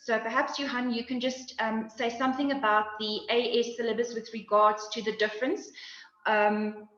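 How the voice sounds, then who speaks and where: A middle-aged woman with a fairly high voice moderates a panel over a video call, asking long, winding questions full of fillers. The sound is roomy and a little dull, as if picked up by a laptop webcam. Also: flat delivery, moderate pace, a bit bright.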